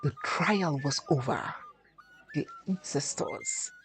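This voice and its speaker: A woman narrating a scripted folktale as host, dramatic and deliberate, phrase by phrase. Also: deep voice. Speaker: storyteller and channel host.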